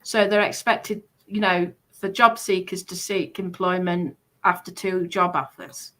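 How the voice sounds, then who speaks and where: A British woman presenting informally, reading a list aloud in a halting, explanatory way and adding casual asides. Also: lowish voice, level tone, a bit bright. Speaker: presenter going through party policies.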